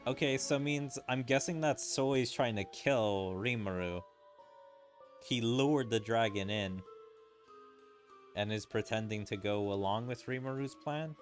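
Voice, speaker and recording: A man's voice: medium pitch, measured pace. A man streaming a video game, reading story text aloud and musing casually over it in commentary. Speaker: gaming streamer. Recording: game music behind the voice. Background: video game music.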